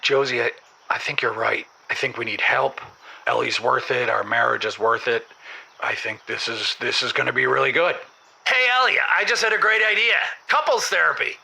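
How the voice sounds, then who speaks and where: A man playing a meek, aggrieved husband calling an advice show, halting and stammering over repeated words with a creaky voice. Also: medium pitch, pushed and bright, full character voice. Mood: hopeful. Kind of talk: improvised comedy call-in.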